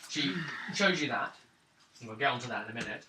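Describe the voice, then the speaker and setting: A man's voice: medium pitch, lively intonation, fairly quick. A man running a tabletop role-playing game as game master, casually narrating and answering players. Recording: roomy, distant mic, faint noise.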